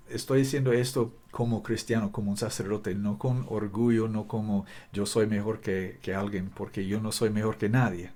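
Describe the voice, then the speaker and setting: A man whose first language is English leads a Bible study in careful, halting speech, often restarting his sentences. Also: lowish voice, a little mellow. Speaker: Bible teacher. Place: Costa Rica.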